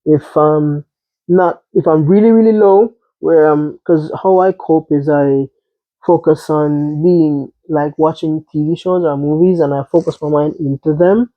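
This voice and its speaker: Higher-pitched man on a tinny, phone-like line. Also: unhurried, no echo. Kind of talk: casual personal discussion. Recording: tinny phone-like band-limited sound.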